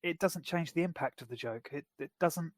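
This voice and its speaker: A man co-hosting a podcast, chatting casually and explaining plots in a measured way. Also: a little high, dry recording, clean studio sound. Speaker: podcast co-host. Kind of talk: casual chat.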